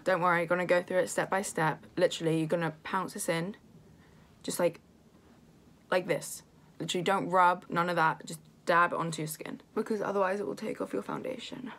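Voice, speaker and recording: A woman with a croaky voice giving a chatty makeup tutorial in her bedroom, full of "literally" and "like". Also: lowish voice, a bit bright. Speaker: makeup vlogger. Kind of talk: casual beauty tutorial.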